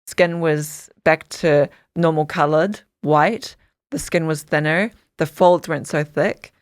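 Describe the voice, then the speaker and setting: A woman from Samoa speaks as an interview guest, with a notably low voice. She tells her stories in earnest detail, often restarting her sentences. The sound is dry and close. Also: steady tone, takes it slowly, no room sound. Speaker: podcast guest, dog skincare founder. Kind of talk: interview storytelling.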